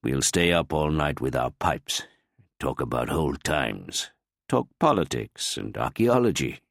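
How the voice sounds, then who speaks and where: A man voicing an elderly grandfather, an archaeologist who is grieving, speaking in a slow, soft, fatherly way. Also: extremely low pitch, big pitch swings, gravelly, no echo, studio quality, full character voice. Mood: gentle, reassuring. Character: older male archaeologist grandfather, gentle, grieving, reassuring.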